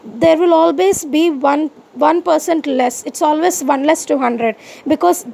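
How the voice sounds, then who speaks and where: A woman speaking in a high voice with a gravelly edge. She has an Indian English accent, and English is not her first language. She talks straight to her listeners in a personal podcast, venting indignantly and firing off rhetorical questions. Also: unhurried, warm tone. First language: Indian language other than Hindi. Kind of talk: personal monologue to the audience.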